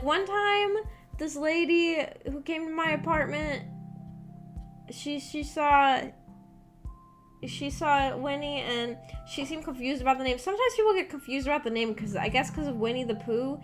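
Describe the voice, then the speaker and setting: A woman streamer chatting casually with viewers, telling stories in a rambling, hesitant way and reading out comments as she goes. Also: high-pitched. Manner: rambling, hesitant storytelling with frequent "like". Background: background music.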